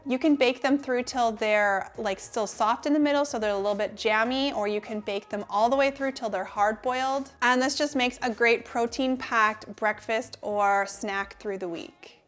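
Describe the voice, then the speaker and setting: A woman's voice: a little high, moderate pace, hardly any pauses, fairly bright tone, soft background music. Upbeat woman sharing cooking tips. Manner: upbeat, friendly explainer. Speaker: cooking channel host. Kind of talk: scripted tips to camera. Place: home kitchen, indoors.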